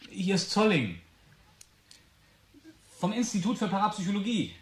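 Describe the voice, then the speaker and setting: A man's voice: sits high, big pitch swings, normal speed. An actor playing an eager, deferential research assistant in a radio drama, hesitant and stammering as he speaks. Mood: polite, businesslike.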